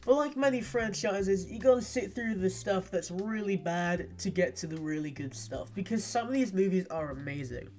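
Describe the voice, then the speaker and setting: A man's voice: high-pitched, lively intonation, moderate pace, hardly any pauses, darkish tone. A man giving casual commentary as a guest co-host, chatty, candid and emphatic. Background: music.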